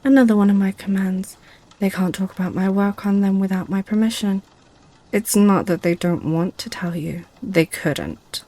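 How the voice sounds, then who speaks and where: A woman's voice: medium pitch, steady tone, normal speed, dark tone, natural voice. A woman playing a seductive, possessive succubus in an ASMR roleplay, with a teasing, sly way of talking. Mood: possessive, smug. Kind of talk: ASMR roleplay audio drama.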